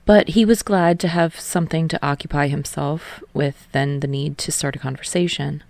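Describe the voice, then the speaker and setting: A woman's voice: sits low, steady tone, moderate pace, vocal fry, darkish tone, dry recording. A woman reading a story aloud as an audiobook narrator, in scripted third-person prose.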